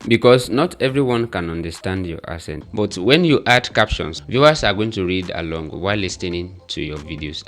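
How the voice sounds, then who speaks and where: A man with a Nigerian accent and a somewhat low voice walks through a tutorial step by step. He talks in an earnest, repetitive way, stressing each point. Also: fairly bright tone. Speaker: YouTube growth tutor. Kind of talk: tutorial talking to camera.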